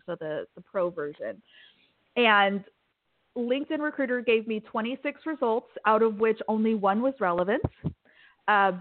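A woman's voice: medium pitch, dry recording. A woman from Kansas, a tech recruiter, talking casually and animatedly as a guest on a podcast. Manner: animated, chatty, laughs easily. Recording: tinny phone-like line.

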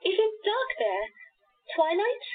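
A woman's voice: extremely high pitch, huge pitch swings, slow pace, exaggerated voice. A woman playing a lonely, scared princess in a radio play, speaking in a plaintive, questioning, earnest way. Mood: timid, curious. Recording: tinny phone-like lo-fi audio.

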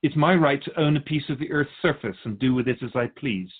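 A man voicing a wise, patient spirit keeper of souls who teaches in a measured, philosophical way. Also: medium pitch, phone-quality sound, natural voice. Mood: mock self-righteous.

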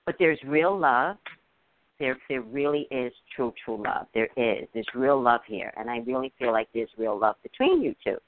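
A woman's voice: a bass voice, animated, moderate pace, no echo, narrow, tinny audio. A woman hosting a call-in psychic reading, chatty, repeating words for emphasis. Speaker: psychic radio host.

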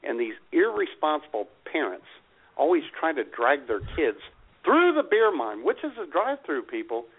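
A man co-hosting a casual comedy radio show, telling stories in an animated, exasperated way. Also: on the high side, normal speed, husky. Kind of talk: casual comic banter and storytelling. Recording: tinny phone-line internet radio sound.

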